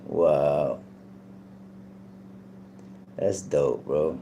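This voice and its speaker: A man's voice: rumbling low, extremely slow, vocal fry, warm tone, slight background noise. A man hosting a casual interview, easygoing and enthusiastic, peppered with slang. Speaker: podcast host.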